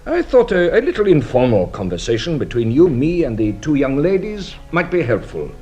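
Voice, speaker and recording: An actor playing a shrewd, courteous French police inspector in a radio drama, questioning with theatrical politeness. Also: male voice, a little high, very animated, normal speed, darkish tone, husky, lo-fi sound, faint music behind.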